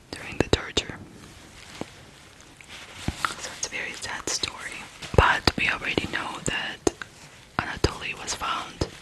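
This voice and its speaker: An ASMR host from Ukraine telling a true crime story in a hushed, deliberate whisper. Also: low-quality recording.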